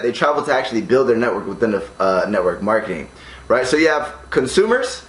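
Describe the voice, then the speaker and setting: A man's voice: medium pitch, fairly bright tone, reverberant. A young American man in a condo, talking casually to camera and explaining ways to earn money online, with frequent "right?" checks. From United States. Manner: upbeat, keeps asking "right?". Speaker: online business vlogger. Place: condo room.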